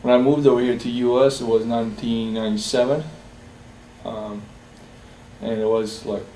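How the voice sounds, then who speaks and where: A young man from Bosnia in a personal interview, speaking haltingly with many fillers and restarts. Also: medium pitch, level tone, dark tone. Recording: roomy echo, distant from the mic, faint hiss.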